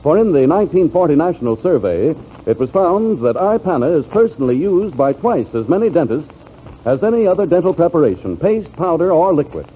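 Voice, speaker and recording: A man's voice: fairly high pitch, sing-song, a little fast. Old-time american radio announcer guy, swooping delivery, dull faintly hissy recording. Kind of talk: 1940s scripted radio announcing and advertising.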